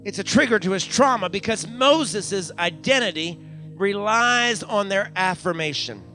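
High, bright-voiced preaching pastor. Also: male voice, animated, a little slow, faint music behind. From United States. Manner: preaching with frequent hallelujahs and call-outs to the congregation; repeats phrases for emphasis, building to raised climaxes. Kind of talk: live church sermon. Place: church sanctuary during a live service.

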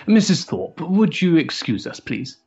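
An actor playing a tense, formal gentleman of the Victorian era, clipped and hesitant, in a period stage drama. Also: high-pitched, sing-song, slow pace, vocal fry, slightly rough, highs cut off, faint music behind, full character voice. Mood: uneasy, formal.

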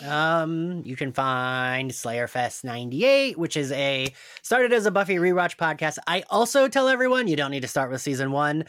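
A man talks casually as a guest on a podcast. His pitch moves around in an animated way, and the sound is dry and close. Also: moderate pace, hardly any pauses, smooth, no echo. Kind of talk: casual chat.

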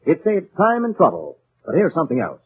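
A man announcing in 1940s radio style, selling with a very lively, swinging delivery. His voice has a husky edge. The sound is band-limited and muffled like an old broadcast. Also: fairly high pitch, fairly quick. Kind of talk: 1940s radio advertising pitch.

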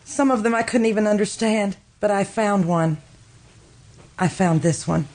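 A woman's voice: medium pitch, normal speed, a little mellow, lightly acted. A woman playing a guilt-stricken, distraught woman in an old-time radio drama, earnest and melodramatic. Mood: accusing, intense.

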